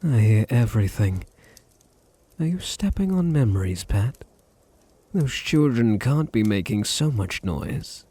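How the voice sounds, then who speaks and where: A man playing a possessive, teasing vampire master in an intimate ASMR roleplay, with a deep, husky British voice and a languid, condescending manner. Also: very animated, a little slow, warm tone, dry recording. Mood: possessive, menacing. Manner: languid, condescending, purring endearments.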